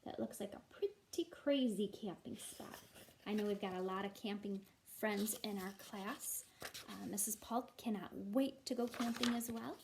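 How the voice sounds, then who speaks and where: A woman's voice: mid-range voice, unhurried, vocal fry, noisy background. A woman teacher reading a children's story aloud expressively, with casual, encouraging asides.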